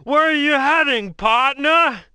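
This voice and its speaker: A man's voice: very high voice, slow, bright, forceful tone, a little hiss or hum, heavily acted. A man playing a folksy, jaunty, mischievous adviser in a cartoon game. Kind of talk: animated game cutscene acting.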